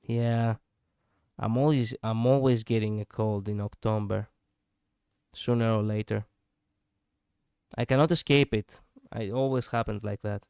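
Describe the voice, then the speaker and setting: A man's voice: mid-range voice, a little mellow, clear, resonant tone, no room sound, clean studio sound. A male streamer chatting casually and reflectively, poking fun at his own slip-ups. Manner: musing, self-deprecating, laughs at own slips. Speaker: VTuber streamer.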